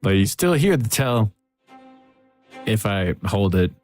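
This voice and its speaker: A man mixing music on a livestream, thinking aloud in a soft, relaxed, casual way. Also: fairly low pitch, slow. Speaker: music producer streaming a mixing session. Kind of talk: casual livestream commentary while working. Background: faint music from the mix being worked on.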